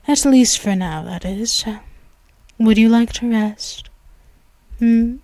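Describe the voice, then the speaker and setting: Woman voicing a slow, purring vampire mistress. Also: medium pitch, dry recording. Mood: soothing. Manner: soft, hushed and drawn-out, often whispering; commanding and purring. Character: dominant predatory female vampire, commanding and possessive. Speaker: ASMR roleplay performer. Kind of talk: ASMR roleplay. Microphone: close mic, intimate.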